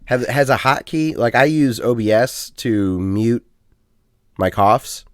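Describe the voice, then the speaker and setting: Lively, rambling male podcast host. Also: mid-range voice, takes it slowly, no echo, studio quality. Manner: rambling, lots of ums and uhs; self-deprecating, chatty. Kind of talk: casual podcast chat.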